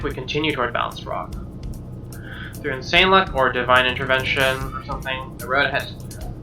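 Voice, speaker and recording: A man reading a visual novel aloud as dramatic, expressive narration, with game music behind him. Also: medium pitch. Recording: slightly distant mic under game music.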